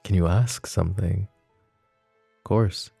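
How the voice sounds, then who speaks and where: A man voicing a possessive, flirtatious mafia boss in an ASMR roleplay drama, with a low, soft, teasing drawl. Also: steady tone, slow pace, vocal fry, slightly rough, no room sound, faint music behind, full character voice. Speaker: voice actor.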